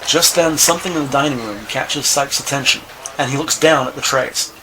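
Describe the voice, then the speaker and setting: A man reading scripted audio drama narration in a measured, descriptive storytelling manner. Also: medium pitch, background noise. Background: music.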